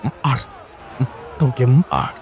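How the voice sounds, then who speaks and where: A man playing a stern, vengeful uncle in an audio horror story, giving commands with deliberate force. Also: medium pitch, sing-song, a little mellow, a faint crowd. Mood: furious orders.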